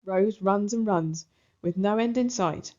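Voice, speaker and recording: A woman narrating a children's storybook aloud in an expressive style. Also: mid-range voice, a little slow, dull audio.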